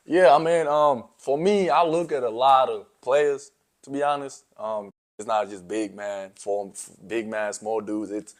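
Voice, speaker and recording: A man's voice: medium pitch, unhurried. A young man from South Sudan, a college basketball player, answering interview questions in a laid-back way, full of "you know" and "um". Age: young adult.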